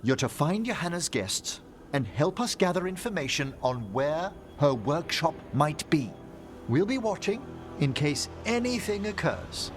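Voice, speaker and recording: An actor playing a refined, scholarly older necromancer in a video game, eloquent and formal, courteous but anxious. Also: male voice, fairly high pitch, very animated, unhurried, background noise. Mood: eager, purposeful. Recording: music behind the voice.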